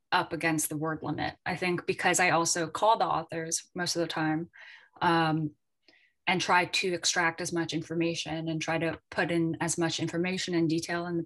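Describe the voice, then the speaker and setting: A woman's voice: low voice, fairly bright tone. A woman speaking as an editor on a panel, informal and reflective, feeling her way through her thoughts in conversation. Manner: reflective, informal, tentative phrasing. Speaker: editor, panel guest at a literary festival.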